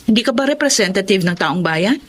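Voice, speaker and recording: A woman's voice: mid-range voice, animated, fairly quick, lightly acted. A woman playing a principled, firm and caring mayor in a Tagalog radio drama.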